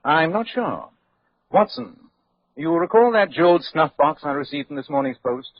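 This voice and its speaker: A brisk, urbane British gentleman detective, precise and courteous in 1940s radio style. The voice is fairly high, swinging and slightly husky, on a muffled old recording. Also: animated, no room sound. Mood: keen, admiring.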